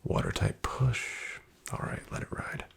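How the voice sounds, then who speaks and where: A man playing a shady, wryly menacing back-alley casino dealer in a soft-spoken, breathy ASMR roleplay, with an unhurried drawl. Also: slight background noise, natural voice. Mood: calm dealing. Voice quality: whispery, breathy, gravelly. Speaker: card game dealer in a roleplay.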